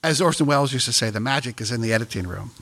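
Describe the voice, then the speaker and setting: A man hosting an interview, asking quick, jokey questions. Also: mid-range voice, animated, fairly bright tone. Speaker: interview host. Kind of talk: radio interview.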